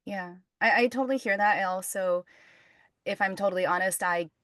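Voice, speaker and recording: A woman's voice: medium pitch, fairly quick, a bit bright, no room sound, clean studio sound. A woman hosting a podcast interview, friendly and agreeing as she talks. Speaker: podcast host. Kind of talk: casual interview conversation.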